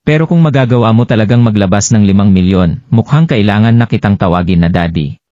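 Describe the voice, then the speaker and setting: A man's voice: on the low side, fast, dark tone, no room sound, close to an everyday voice. A Filipino man voicing a mocking, scornful young woman who taunts others in a narrated story. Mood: mocking, amused. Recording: dull lo-fi sound.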